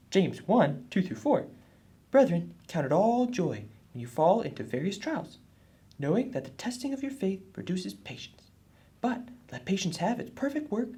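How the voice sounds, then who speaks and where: A woman voicing an earnest, husky boy puppet who explains things helpfully in a children's puppet show. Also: mid-range voice, dark tone, exaggerated voice.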